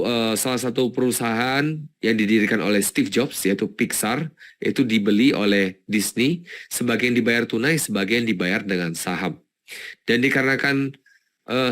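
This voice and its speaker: Male webinar presenter, medium pitch, informal and explanatory, thinks aloud with repeated words. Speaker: webinar presenter on stock analysis. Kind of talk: informal live webinar commentary.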